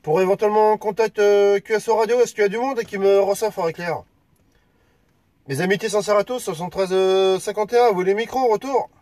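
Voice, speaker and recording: A man from France with a high-pitched voice talks as an amateur radio operator. He moves between call signs and casual chat, friendly and a little hesitant. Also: fairly quick, a little mellow.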